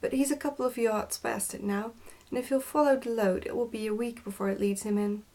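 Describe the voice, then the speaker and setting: A woman's voice: a little high, fairly even intonation, brisk, a little mellow, natural voice. Woman reading as a pompous goblin father. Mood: gruff, dismissive. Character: gruff goblin father, smug and bossy. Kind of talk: storybook character reading.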